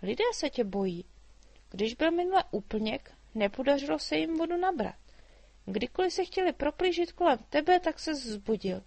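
A woman voicing a small, chatty human girl who talks in eager, high-pitched chatter, in a storybook reading. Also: big pitch swings, gravelly, a little mellow, dry recording, full character voice. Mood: lively.

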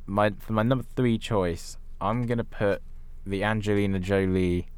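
A man's voice: on the low side, fairly even intonation, moderate pace, smooth. A man co-hosting a podcast in an informal, conversational way, talking casually about films. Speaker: podcast co-host. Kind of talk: casual film discussion chat.

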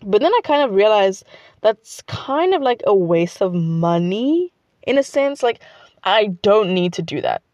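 A woman's voice: mid-range voice, very animated, unhurried, darkish tone, no echo, clean studio sound. A teenage girl from South Africa with a South African accent, Xhosa her first language, chatting casually in a rambling vlog full of "like". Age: teens. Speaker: student vlogger.

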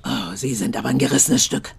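An actress playing a suspicious, foul-mouthed older mother with a creaky voice in a dubbed comedy crime series. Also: extremely low pitch, brisk, darkish tone, exaggerated voice.

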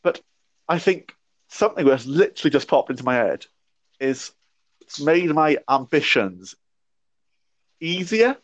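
A man's voice: on the high side, big pitch swings, measured pace, pauses often, dry recording. A young man in his twenties, a podcast guest, talking reflectively with a stammer and frequent restarts. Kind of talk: casual conversation. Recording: dry muffled lo-fi audio.

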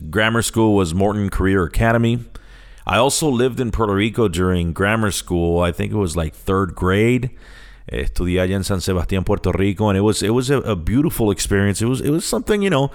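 A middle-aged man from Chicago with an American accent, talking casually as a podcast host and slipping between English and Spanish. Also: fairly low pitch. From Chicago, United States. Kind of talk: casual solo podcast introduction.

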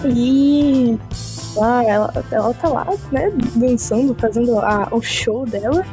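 A woman's voice: fairly high pitch, slow pace, over music. A woman playing in a tabletop game, describing her character in loose, casual talk full of fillers. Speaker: player.

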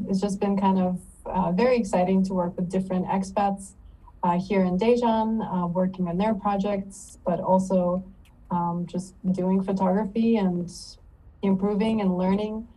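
A woman's voice: mid-range voice, recorded from a distance, rough recording. A woman from Ukraine, an English teacher and photographer, talking casually as a podcast guest with an easygoing manner. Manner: relaxed, laughs easily, many fillers. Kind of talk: casual interview talk.

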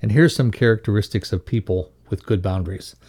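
A man with a dark, relaxed tone and a slightly low pitch reads a scripted lesson aloud. His delivery is instructional narration, laying out leadership values point by point. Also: a little fast.

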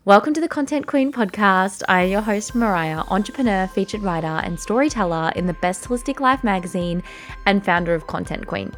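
A woman's voice: mid-range voice, expressive, brisk, slight background noise. A woman hosting a podcast interview in an upbeat, enthusiastic way. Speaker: podcast host.

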